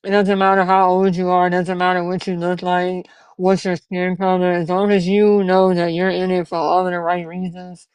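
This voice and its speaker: Smooth-voiced man in his twenties hosting. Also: high-pitched, monotone, normal speed, a little mellow, no echo, poor audio. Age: 20s. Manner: earnest, storytelling, encouraging. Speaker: podcast host, barber. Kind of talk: casual interview chat.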